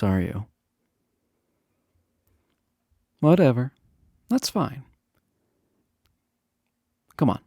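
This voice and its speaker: Casting: male actor as popular college guy, chatty and teasing, easygoing flirt, unhurried delivery with lively pitch swings, romantic audio roleplay. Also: no room sound, clean studio sound, heavily acted. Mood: teasing, curious, then coaxing, flirty. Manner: chatty, teasing, hesitant filler-laden casual phrasing. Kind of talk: romantic roleplay audio acting, casual intimate chat.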